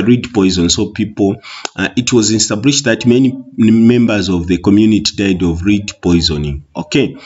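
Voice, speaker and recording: Zambian man, tonga-tinged english, husky low voice, muffled lo-fi sound, patient exam walkthrough. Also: darkish tone. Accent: Zambian, Tonga-influenced English. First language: Bemba. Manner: explains slowly, repeats with many okays. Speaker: teacher. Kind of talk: online exam walkthrough lesson. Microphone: voice-over on screen recording. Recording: muffled lo-fi recording.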